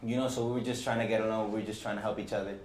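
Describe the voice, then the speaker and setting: A young man in a casual interview, talking in a relaxed, rambling way with lots of "you know". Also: on the low side, rapid-fire, reverberant, a little hiss or hum. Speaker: guest, boy band member.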